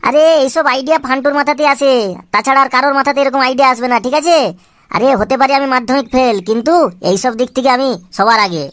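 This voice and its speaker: A woman's voice: high-pitched, fairly even intonation, quick pace, fairly bright tone, no room sound. A woman voicing a cheeky, boastful young man full of schemes in a comic cartoon, chatty and wheedling. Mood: boastful, scheming. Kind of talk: comic amateur cartoon dialogue.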